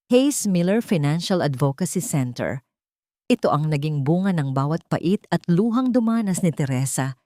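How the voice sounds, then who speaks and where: Low-voiced Filipino woman narrating a story. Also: mellow, no echo, clean studio sound. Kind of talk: scripted dramatic story narration.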